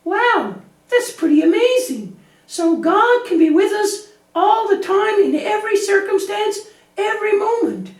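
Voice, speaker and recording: An echoey, distant recording of a tiny lamb puppet with an extremely high voice. The little creature is naive and anxious, chattering earnestly and repeating itself as in a children's puppet show. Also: female voice, lively intonation, measured pace. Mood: relieved, earnest. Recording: echoey room, distant microphone.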